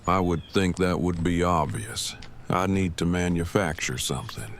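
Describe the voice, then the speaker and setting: Audio drama role, male actor: pedantic, socially stiff inventor man, lowish pitch, verbose and precise, some background noise. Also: moderate pace. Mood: stiff, matter-of-fact. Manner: formal, verbose, precise wording. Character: Eugene, stiff, pedantic, awkward but earnest inventor man. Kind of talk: fan audio drama acting.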